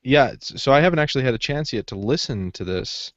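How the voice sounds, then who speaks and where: A man's voice: mid-range voice, lively intonation, fast, no echo. A man co-hosting a podcast in informal, easygoing, casual conversation. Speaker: podcast co-host. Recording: slightly dull, lo-fi audio.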